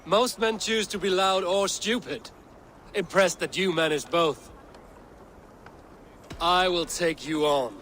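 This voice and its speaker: Dry-witted, highish-voiced young Viking. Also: male voice, slow pace, long pauses, fairly bright tone, a little hiss or hum. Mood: cool, confident. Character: quick-witted cool young Viking warrior trading rhymed insults. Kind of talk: video game voice acting.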